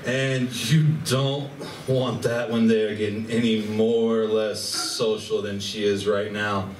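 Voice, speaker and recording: A man's voice: lowish voice, little pitch movement, slow, recorded from a distance. A man playing a brash, sarcastic, hard-drinking space crewman in a live amateur radio play, recorded from afar in a noisy, echoey room. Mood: boastful, tipsy. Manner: sarcastic, swaggering. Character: brash, hard-drinking, teasing male space-crew party animal. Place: large indoor room at a convention.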